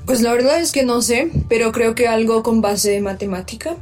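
A woman's voice: fairly high pitch, fairly even intonation, moderate pace, darkish tone. Tentative teen girl, distant mic. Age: teens. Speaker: student being interviewed. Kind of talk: casual interview answers. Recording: distant mic with faint music.

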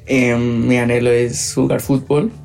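A teenage boy answering interview questions in a relaxed, easygoing way. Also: medium pitch, measured pace, gravelly, faint music behind. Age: teens. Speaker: student being interviewed.

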